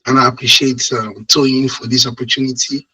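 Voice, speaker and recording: A man from Nigeria with a Nigerian accent giving a webinar presentation over a video call, his voice hoarse and congested from a cold and cough. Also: medium pitch, little pitch movement, normal speed, bright, forceful tone, rough recording. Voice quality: husky. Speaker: guest speaker, IT project manager. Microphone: online video call microphone.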